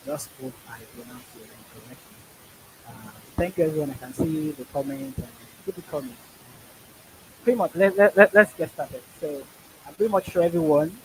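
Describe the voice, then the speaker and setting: A man's voice: mid-range voice, rough recording. Man, hissy outdoor background noise. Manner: loose, filler-heavy, repeats "pretty much" and "you know". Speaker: webinar host. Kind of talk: live online webinar talk. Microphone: computer or phone mic on a video call.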